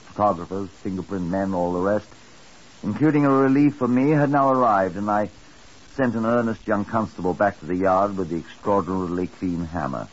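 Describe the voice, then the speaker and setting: An actor playing a calm, methodical chief inspector in a 1950s radio drama, terse and measured. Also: male voice, low voice, natural voice. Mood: measured, narrating. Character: calm, methodical police chief inspector, world-weary and dry. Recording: dull, hissy 1950s radio recording.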